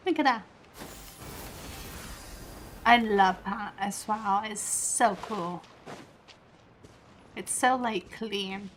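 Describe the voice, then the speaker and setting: A woman's voice: mid-range voice, drawn-out words, pauses often, noisy background. A woman streaming a game, chatting casually and thinking aloud in an informal way. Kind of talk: casual live gaming stream commentary.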